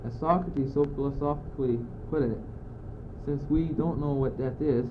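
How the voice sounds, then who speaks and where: A man's voice: fairly high pitch, normal speed, close to an everyday voice. A teenage male student actor playing a cool-headed, philosophizing young courtier in an amateur radio play, with a declamatory, argumentative delivery. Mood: reasoning coolly. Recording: muffled phone-like old tape, loud noise.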